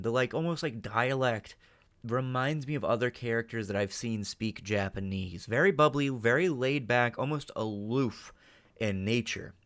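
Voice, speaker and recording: A man giving off-the-cuff reaction commentary as a host, halting and speculative as he thinks aloud. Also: medium pitch, fairly bright tone. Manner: halting, speculative, mild swearing.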